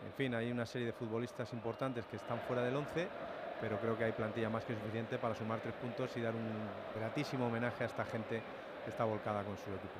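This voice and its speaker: Casting: male football reporter, Spain accent, live pre-match report, clear outdoor noise behind him. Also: mid-range voice, little pitch movement, quick pace. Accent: Spanish (Spain). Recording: noisy outdoor stadium ambience. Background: clear outdoor ambient noise.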